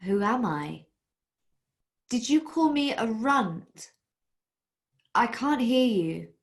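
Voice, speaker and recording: A woman's voice: a little high, expressive. A woman doing a dazed, hurt young observer. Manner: slow, detached, fragmented. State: dazed and in pain.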